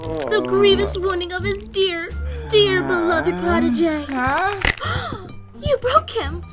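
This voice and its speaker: Actress as plucky young girl, audio drama: extremely high voice, dramatic and pushy, over prominent music. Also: lively intonation, slow pace, heavily acted. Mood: dramatic reproach.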